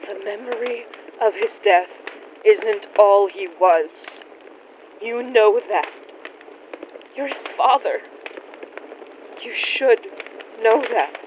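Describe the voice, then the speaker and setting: Actress as a stubborn earnest young woman, horror audio drama, slow delivery, kinda dull muffled sound. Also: mid-range voice, a little hiss or hum, natural voice. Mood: pleading. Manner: earnest, insistent, pleading. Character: grieving, stubborn young woman who confronts ghosts.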